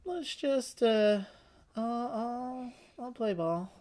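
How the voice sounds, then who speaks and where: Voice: mid-range voice, lively intonation, extremely slow, darkish tone. A casual, talkative game co-host with a middle-register voice. They explain things readily and talk through options in an easy conversational style, as if across a table from a friend. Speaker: board game playthrough co-host.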